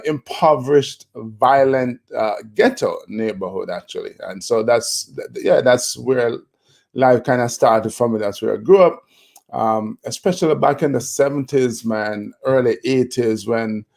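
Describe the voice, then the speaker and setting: A Jamaican man in his fifties, a motivational speaker, telling his life story in a relaxed interview with many fillers and restarts. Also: fairly low pitch, gravelly. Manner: reflective, with frequent fillers and restarts.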